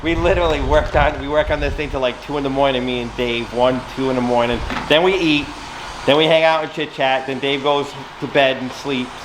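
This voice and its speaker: A man's voice: medium pitch, fairly quick. A man, a mechanic hosting a vlog, telling casual, rambling stories about shop work. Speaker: mechanic and storytelling host. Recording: noisy workshop, steady background hum.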